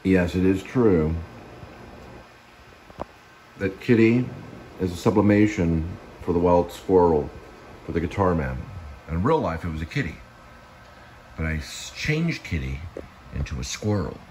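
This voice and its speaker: A man hosting his own show, talking in a rambling, casual monologue with a halting, emphatic manner that circles back on itself. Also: deep voice, lively intonation, darkish tone, slight background noise. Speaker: show host.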